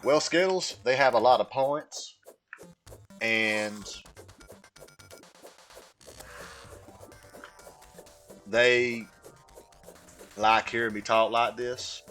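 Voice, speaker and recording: A man voicing a slow, simple, earnest Southern American man with a folksy drawl while chatting on a gaming livestream. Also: medium pitch, a bit bright. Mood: resigned, chatty. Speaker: video game streamer. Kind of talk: livestream gaming chat held in a put-on voice. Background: music.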